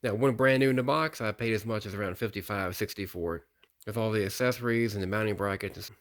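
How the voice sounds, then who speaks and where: A middle-aged American man with a fairly low voice presents a hobby showcase. He talks casually and plainly, with folksy phrasing, and tends to circle back and repeat his points. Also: quick pace, fairly bright tone. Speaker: radio collector presenting a hobby video. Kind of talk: casual product showcase narration.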